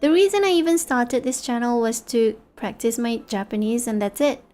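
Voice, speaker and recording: A woman in her thirties, a native English and Malay speaker, talks to her stream viewers in a slightly high voice, tired in the small hours. She rambles and rephrases her thoughts in loose, reflective chat. Faint music plays quietly beneath her. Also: normal speed. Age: 30s. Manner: rambling, reflective, self-correcting. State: tired late at night. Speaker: VTuber streamer. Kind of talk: late-night casual chat with viewers.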